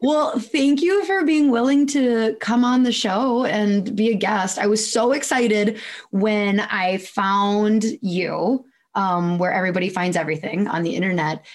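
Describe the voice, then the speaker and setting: A woman's voice: medium pitch, unhurried. A woman hosting a podcast, asking her guest questions in a chatty way full of fillers. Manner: chatty, filler-heavy, friendly. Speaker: podcast host. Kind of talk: casual interview questions.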